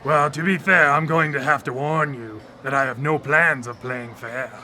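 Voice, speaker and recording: An actor in a radio drama playing a smug, taunting tempter spirit who schemes to corrupt souls. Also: male voice, mid-range voice, fairly even intonation, moderate pace, bright, forceful tone. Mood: wry, smug. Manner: taunting, theatrical. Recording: live radio drama broadcast.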